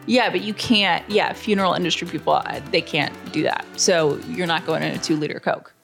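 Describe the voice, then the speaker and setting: A woman's voice: fairly low pitch, fairly quick, vocal fry, a bit bright, soft background music. A woman chatting casually as a podcast co-host, talkative and full of questions. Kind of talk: casual chat.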